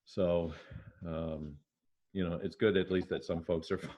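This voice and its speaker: A man chatting casually on a video call with measured, hesitant phrasing. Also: low-pitched, fairly even intonation, dark tone. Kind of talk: casual chat. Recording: dull, lo-fi call audio.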